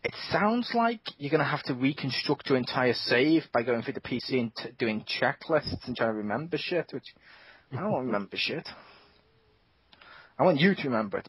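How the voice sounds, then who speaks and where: A man hosting a casual gaming podcast, animated and swearing freely with quick asides. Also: mid-range voice, husky, dry recording. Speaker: podcast host. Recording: dull lo-fi dry sound.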